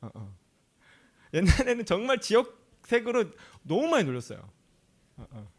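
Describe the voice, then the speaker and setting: A man's voice: high-pitched, wildly swooping pitch, moderate pace. A male pastor from Chungcheong, South Korea, preaching a sermon in a conversational way. He often asks the audience questions and laughs easily.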